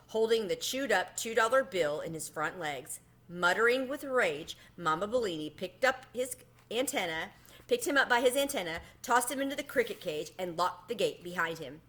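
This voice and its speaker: A woman reading a children's story aloud in an expressive narrating style. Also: medium pitch. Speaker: storybook reader.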